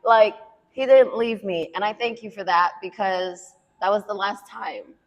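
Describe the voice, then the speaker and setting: A woman co-hosting a podcast, chatting candidly and animatedly with frequent laughter and quick bursts when she vents. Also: medium pitch, big-room sound, a loud crowd. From Puerto Rican heritage. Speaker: podcast co-host. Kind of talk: candid casual chat.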